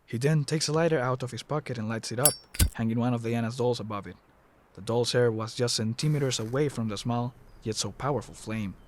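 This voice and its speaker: A man's voice: mid-range voice, brisk, background noise. A man narrating an audio drama in a scripted storytelling style.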